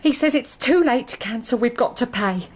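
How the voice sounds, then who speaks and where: An actress playing an anxious, earnest woman with quiet resolve in a radio drama, with an English accent. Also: a little high, expressive, normal speed, lightly acted. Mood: hesitant, placating. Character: devout, anxious working-class English woman with simmering resolve. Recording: faint background noise.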